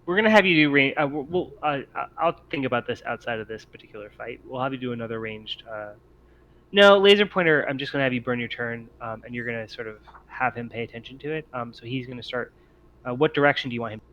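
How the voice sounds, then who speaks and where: A man's voice: mid-range voice, lively intonation, fast talker, fairly bright tone. A man refereeing a tabletop game as game master, thinking aloud and accommodating as he improvises rulings. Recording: muffled mic with noticeable background noise.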